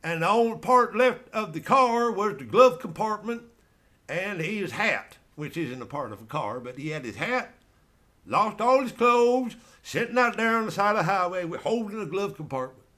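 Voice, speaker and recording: A man's voice: high-pitched, lively intonation, sharp tone. Folksy American man telling rambling comic stories. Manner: folksy, colloquial storyteller with drawn-out, meandering delivery. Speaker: stand-up comedian. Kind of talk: rambling comic storytelling monologue.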